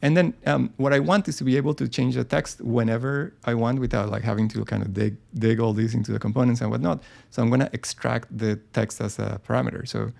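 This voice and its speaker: A man hosts a live software tutorial, talking it through as he works. His voice is heavily gravelly and warm in tone, with a relaxed, unhurried delivery. He keeps pausing on fillers and restarting his sentences as he thinks aloud. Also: medium pitch. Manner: casual, thinking aloud with many ums and restarts. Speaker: design software presenter. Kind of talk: live screen-share tutorial.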